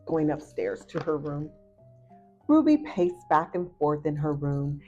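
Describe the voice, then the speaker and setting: A woman narrating a children's picture book aloud in an expressive storytelling style. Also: sits low, unhurried. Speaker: storybook reader. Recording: muffled audio with faint music behind.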